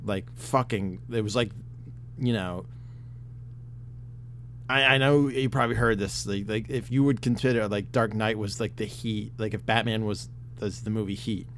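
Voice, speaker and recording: A man co-hosting a casual podcast chat, talking in an excitable, rambling way with lots of fillers and swearing, his voice getting worn. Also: lowish voice, normal speed, a little hiss or hum. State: losing his voice. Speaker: podcast host.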